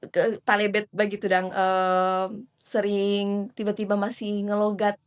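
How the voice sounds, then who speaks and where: A woman's voice: medium pitch, completely flat delivery, a little slow, smooth. A woman hosting a casual interview, chatty, with many fillers and easy laughs.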